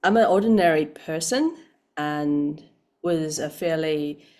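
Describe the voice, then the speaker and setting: A woman speaks as a thoughtful interview guest. Her voice is low and her pace slow, as she searches carefully for her words. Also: darkish tone. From Taiwan. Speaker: guest, practitioner. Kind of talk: reflective interview answer.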